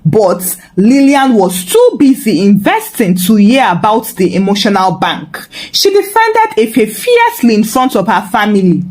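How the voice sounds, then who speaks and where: A woman with a Nigerian accent narrating a scripted folktale in an expressive, humorously dramatic way. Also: mid-range voice, unhurried, hardly any pauses. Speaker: storyteller, narrator.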